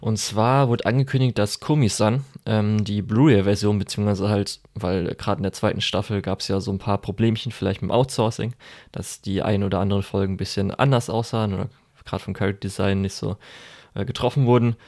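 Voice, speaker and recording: A man with a lowish voice co-hosts a casual podcast chat. He rambles and hesitates, often stopping to fill the gaps and start his sentences over. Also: steady tone, brisk, darkish tone. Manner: rambling, hesitant, many fillers and restarts. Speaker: podcast co-host.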